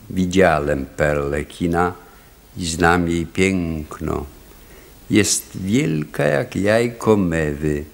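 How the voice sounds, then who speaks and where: Slow, low-voiced solemn village elder. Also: male voice, vocal fry, heavily acted. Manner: measured, grave, declamatory. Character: solemn wise male elder leading a village chorus. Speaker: actor in a radio play. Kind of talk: radio drama acting, solemn storytelling.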